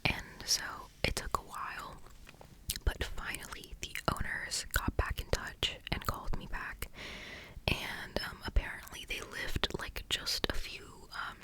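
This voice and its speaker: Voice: a little slow, pushed and bright, poor audio. A person telling a story in a fully unvoiced ASMR whisper, rambling with many "like"s and tripping over words. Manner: rambling, heavy use of "like"; gets tongue-tied, restarts often. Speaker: ASMR creator.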